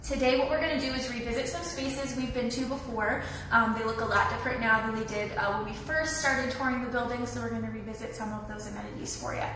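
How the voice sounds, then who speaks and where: A young woman with a slightly raspy voice from a cold, giving a friendly, chatty live tour of an apartment building. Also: fairly high pitch, steady tone, quick pace, noisy background. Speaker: leasing tour host. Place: echoey empty indoor rooms. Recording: echoey room from far off.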